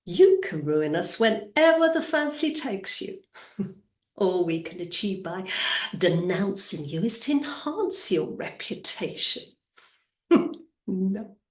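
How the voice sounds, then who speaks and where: A woman performing a stage monologue as a proud, cunning aristocrat, poised, deliberate and disdainful. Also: medium pitch, far from the mic. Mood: proud, defiant. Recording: echoey room.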